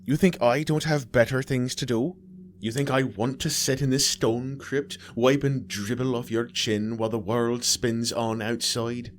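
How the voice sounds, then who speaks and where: A man's voice: mid-range voice, fairly even intonation, measured pace, slight background noise, voice pushed up, close to an everyday voice. A man doing a cruel, sneering nurse. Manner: sneering, snapping, contemptuous. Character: cruel, bitter middle-aged female nurse.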